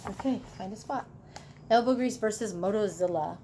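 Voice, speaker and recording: A woman teacher reading a picture book aloud to children, chatty and animated, with casual asides about the pictures. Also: medium pitch, moderate pace, background noise.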